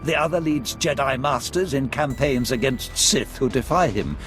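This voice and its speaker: An actor playing a genteel, scheming Sith lord in a video game, speaking in a formal, courtly and theatrical way. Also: male voice, medium pitch, normal speed, fairly bright tone, soft background music, close to an everyday voice. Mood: conspiratorial. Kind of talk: video game cutscene acting.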